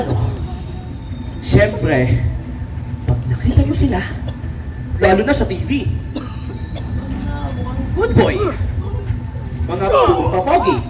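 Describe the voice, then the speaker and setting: A Filipino actor playing a bitter, drug-addicted young man in a dramatic stage monologue, swinging from muttering to shouting. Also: very high-pitched, noisy background, full character voice. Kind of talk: theatrical monologue in a stage play. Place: outdoor park stage, recorded from afar. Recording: distant echoey pickup with loud music.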